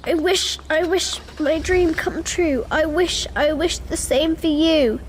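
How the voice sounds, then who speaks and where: A woman's voice: very high-pitched, slow pace, noisy background. A female performer playing an imaginative, earnest little schoolgirl in a radio drama, rambling and literal-minded as she talks and narrates. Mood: wishful, singsong.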